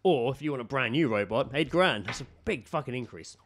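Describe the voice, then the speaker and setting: A man's voice: medium pitch, expressive, a little fast. Jokey British man chatting on a podcast. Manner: jokey, sweary, laughs readily. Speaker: podcast co-host. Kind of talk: casual comic chat and reading out odd news stories.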